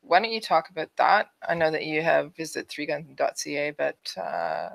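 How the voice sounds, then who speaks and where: A woman's voice: deep voice, moderate pace, creaky. A woman with a Canadian accent co-hosting a podcast, chatting casually and reading out announcements. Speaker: podcast co-host.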